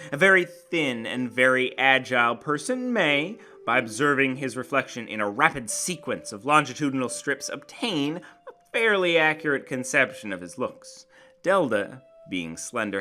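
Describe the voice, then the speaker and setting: A man giving a theatrical, deliberate dramatic reading of a literary short story in an expressive storyteller's manner. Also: on the high side. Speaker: narrator and channel host. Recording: acoustic guitar music behind the voice. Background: plucked guitar music.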